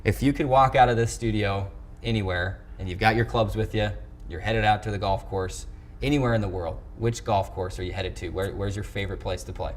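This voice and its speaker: Guy hosting a sports chat show, really low voice, wordy and halting, bit of background noise. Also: steady tone. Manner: wordy, halting phrasing with repeated words. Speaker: sports talk show host. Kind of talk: conversational interview hosting. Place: television studio.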